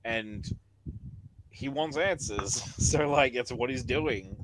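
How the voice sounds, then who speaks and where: A man's voice: mid-range voice, slow, background noise. A man who plays in a tabletop game, chatting animatedly about his character with laughs and lots of fillers. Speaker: player. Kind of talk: casual post-session chat.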